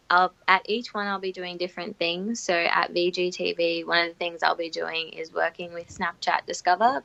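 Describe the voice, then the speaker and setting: A young woman talks in an upbeat, chatty way, laughing easily. Her low voice has a gravelly, crisp edge and sounds dry and close. The call audio is dull and lo-fi. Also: pushed and bright, no echo. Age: young adult. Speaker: student guest on internship abroad. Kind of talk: casual interview over video call. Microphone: video call.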